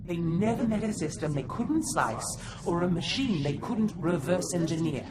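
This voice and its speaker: A woman's voice: fairly low pitch, lively intonation, moderate pace, a crowd in the background, close to an everyday voice. A woman voicing an earnest, formal, scholarly advisor in a game cutscene. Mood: measured, informative.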